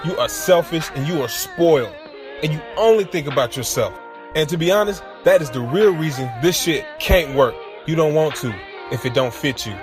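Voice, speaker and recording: An actor playing a hurt, jealous lover in naturalistic audio drama, talking in blunt, accusing slang. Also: male voice, a little high, lively intonation, moderate pace, lightly acted. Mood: bitter, fed up. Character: hurt, jealous, lovesick man confronting his lover. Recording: music behind the voice. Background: clear background music.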